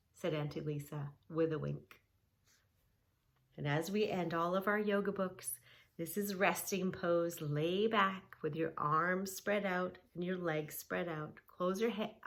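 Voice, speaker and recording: Slow, soothing woman reading to kids. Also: on the low side. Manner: gentle, soothing, instructive. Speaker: kids yoga teacher and reader. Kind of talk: reading a picture book aloud and guiding yoga poses.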